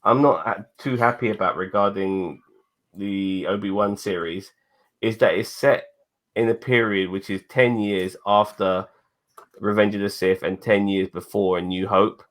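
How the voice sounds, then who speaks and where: A man's voice: on the low side, steady tone, measured pace. Profane, rambling man in his forties. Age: 40s. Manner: rambling and profane, swearing often. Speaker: podcast co-host. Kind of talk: casual chat.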